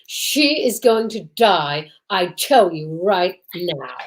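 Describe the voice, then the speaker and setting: A woman's voice: lowish voice, big pitch swings, slow pace. A woman playing a defiant, vengeful murderess in an old-time radio drama, speaking with venom. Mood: defiant, venomous. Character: hateful, vengeful married woman, cornered murderess.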